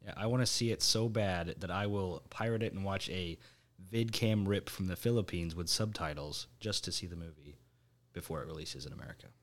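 A man's voice: low-pitched, a little fast. A man in his late twenties on a friends' podcast, rambling casually with lots of "like" and "you know". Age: 20s. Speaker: podcast co-host. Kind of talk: casual chat among friends.

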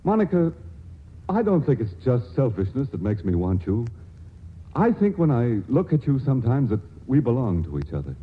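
An actor playing an earnest, awkward, lovesick suitor in a 1940s radio drama, halting and stammering as he adores and pleads. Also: mid-range voice, sing-song, breathy edge. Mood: ardent, fumbling. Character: earnest, awkward, lovesick scientific young suitor, hesitant and adoring. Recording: tinny, band-limited 1940s radio broadcast recording.

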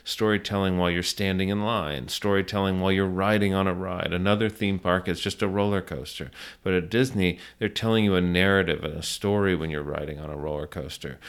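A middle-aged American man with a very low, clean, resonant voice. He talks as a business owner being interviewed, telling stories conversationally and pausing to restart his phrases. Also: clear, resonant tone. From South Florida, US.

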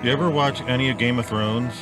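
A man's voice: medium pitch, steady tone, quick pace. A middle-aged man co-hosting a casual podcast, joking around in a room without acoustic treatment. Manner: joking, shouts while singing rock. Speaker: podcast co-host. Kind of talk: casual chat and loud karaoke singing. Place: home recording setup without acoustic treatment. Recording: loud music under the voice. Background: loud music.

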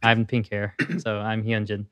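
A man with a deep voice chatting with enthusiasm, with lots of fillers. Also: flat delivery, moderate pace, a bit bright, clean tone. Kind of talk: casual reaction chat.

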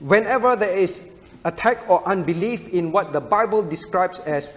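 A man's voice: high-pitched, moderate pace, fairly bright tone. A man preaching an expository sermon in short, emphatic phrases, teaching didactically and posing rhetorical questions. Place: large reverberant room, preaching to a congregation. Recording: reverberant hall sound.

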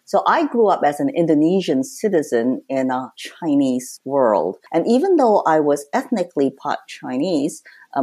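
An older woman who grew up in Hong Kong, a writer speaking as a guest in a relaxed interview, reflective and quick to laugh. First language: Cantonese and English both spoken from childhood. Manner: reflective, laughs easily, pitch jumps when animated.

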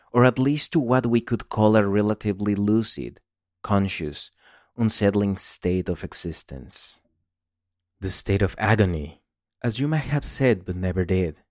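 A man reading literary fiction aloud in a deliberate, solemn and dramatic manner. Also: sits low, a little mellow, dry recording, studio quality. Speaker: story reader.

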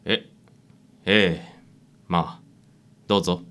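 A man's voice: sits low, fairly even intonation, slow pace, sharp tone, full character voice. A man playing a meek, flustered middle-aged divorced father in a radio drama. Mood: hesitant, polite.

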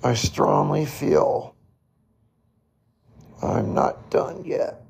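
A man hosting a solo podcast, reflecting in a slow, halting way with a hoarse, raspy voice. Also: on the high side, darkish tone. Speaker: podcast host.

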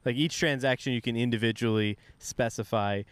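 A young man co-hosting a podcast, wry and deadpan in casual banter. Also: mid-range voice, a little fast, clean tone, no echo. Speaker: podcast co-host.

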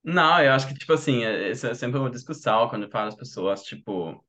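A young German man with a German accent, a podcast guest talking casually in his second language and often pausing to search for words. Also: mid-range voice, expressive, bright, forceful tone. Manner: searches for words, many "tipo" fillers. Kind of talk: casual conversation.

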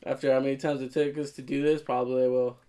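A man's voice: mid-range voice, little pitch movement, fast talker, warm tone. A young man co-hosting a casual podcast, blunt and teasing, who swears often and rants freely. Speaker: podcast co-host. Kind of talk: casual banter.

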